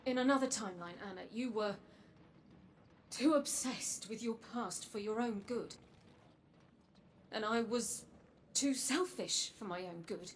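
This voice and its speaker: Woman acting as a grave guarded android lady from a dark future, video game cutscene, measured n earnest, noisy ambience behind. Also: medium pitch, lightly acted. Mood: regretful, sombre.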